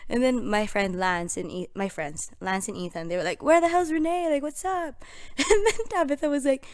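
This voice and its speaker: A young woman from the Philippines with a Filipino accent, a podcast guest telling stories in casual chat. She giggles often, talks with animation and keeps saying "literally" and "like". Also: mid-range voice.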